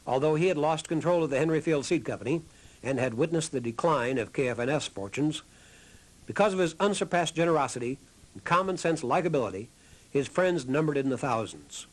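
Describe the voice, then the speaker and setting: A man reading scripted documentary narration in a measured, earnest manner. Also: on the high side, lively intonation, poor audio.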